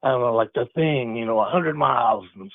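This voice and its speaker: A man's voice: mid-range voice, lively intonation, brisk, creaky, dry recording. A man calling into a radio show, reminiscing in a rambling, easygoing way. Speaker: caller. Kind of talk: phone-in chat. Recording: tinny phone line.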